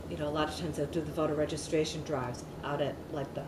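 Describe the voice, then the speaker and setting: A woman's voice: low voice, level tone, quick pace. A middle-aged woman giving an oral history interview, talking loosely with many fillers and restarts. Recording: echoey room, mic at a distance, noisy.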